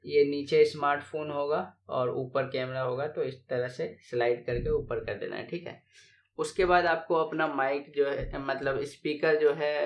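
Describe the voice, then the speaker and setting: A man's voice: mid-range voice, a little hiss or hum. Young male tech host, lapel mic, patient step-by-step explainer, a bit roomy. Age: young adult. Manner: explains step by step, repeating key phrases. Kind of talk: casual tech tutorial. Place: small dim room indoors, seated at a desk. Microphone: lavalier clip mic.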